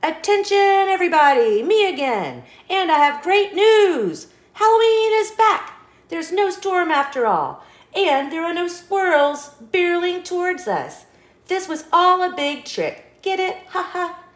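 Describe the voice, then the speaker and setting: A woman's voice: extremely high pitch, sing-song, unhurried, reverberant, voice pushed up, heavily acted. A woman voicing a grumpy, anxious, scheming male sunflower seed in a children's storybook, with dramatic, exclamatory delivery. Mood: excited. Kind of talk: children's storybook read-aloud.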